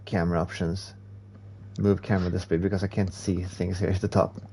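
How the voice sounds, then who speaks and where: A man's voice: low voice, flat delivery, fairly quick, dark tone, husky. A man from Sweden, English not his first language, chatting casually to viewers and reading comments while he races on a live stream. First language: Swedish. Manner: chatty, laughs at himself, reads chat aloud.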